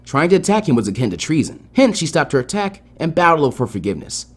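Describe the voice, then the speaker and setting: Fast, animated male story narrator. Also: a little high, slightly rough. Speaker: fan fiction narrator. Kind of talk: expressive scripted story narration. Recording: background music behind the voice.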